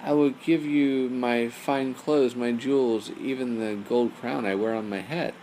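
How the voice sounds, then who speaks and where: A man reads the part of a young princess in a bedtime fairy tale. The princess is eager, anxious and a little spoiled. The voice is creaky, with faint noise behind it. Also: medium pitch, fairly even intonation, measured pace, dark tone, pitched above the performer's own voice, natural voice, rough recording.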